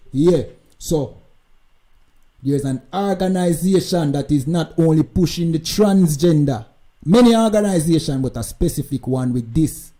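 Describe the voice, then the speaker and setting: Very dark-voiced Jamaican man ranting. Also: fairly high pitch. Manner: emphatic, repeats "you know what I mean". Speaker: live-stream host. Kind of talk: ranting live commentary.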